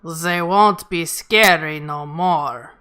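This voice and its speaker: A woman's voice: sits low, takes it slowly, bright, forceful tone, smooth, heavily acted. A woman playing a tough, gung-ho spaceship officer with clipped grammar in a sci-fi comedy read. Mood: tough, scornful. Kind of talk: live table read of sci-fi comedy script.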